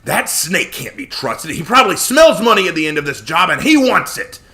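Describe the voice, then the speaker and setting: A man's voice: on the high side, big pitch swings, normal speed, pushed and bright, voice pushed up, full character voice. A man voicing a hot-tempered, snarling, sarcastic man in a storybook reading. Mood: furious. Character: hot-tempered, snarling man who loathes his rival.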